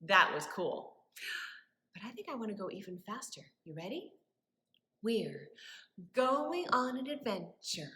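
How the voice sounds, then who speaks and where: A woman leading story time for young children as a librarian, with animated wonder and a sing-song, encouraging way of talking. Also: medium pitch, measured pace, pauses often. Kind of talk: children's story time with rhymes, questions and songs. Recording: echoey room.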